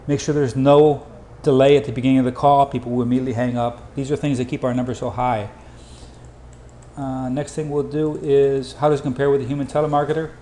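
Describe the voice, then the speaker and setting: A man giving an informal sales presentation over slides, with hurried, clipped phrasing. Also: mid-range voice, little pitch movement, dark tone. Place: indoor room, voice-over for slides. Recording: roomy echo, faint background noise.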